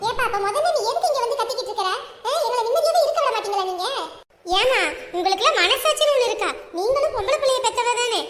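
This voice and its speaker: A woman voicing a fierce mother who scolds and shouts to defend her son. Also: extremely high pitch, animated, quick pace, echoey room, loud music behind the voice. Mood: scolding.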